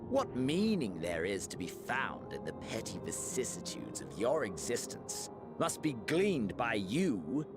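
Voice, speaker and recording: An actor playing an arrogant warrior villain who speaks in a slow, grandiose, menacing way in a video game cutscene. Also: male voice, fairly low pitch, wildly swooping pitch, full character voice. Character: deep-voiced arrogant male warrior villain. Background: game score music.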